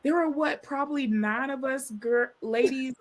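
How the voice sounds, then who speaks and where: A woman's voice: sits high, moderate pace. A woman in her thirties co-hosting a podcast, telling a personal story in casual chat and acting out what others said. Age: 30s. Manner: storytelling, expressive, quotes people in voices. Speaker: podcast co-host.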